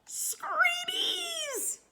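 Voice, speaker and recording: A woman's voice: on the high side, lively intonation, slow, background noise. A woman voicing a pompous, haughty small male hatmaker in a children's storybook, with scornful, emphatic delivery. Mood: terrified. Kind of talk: children's storybook read aloud.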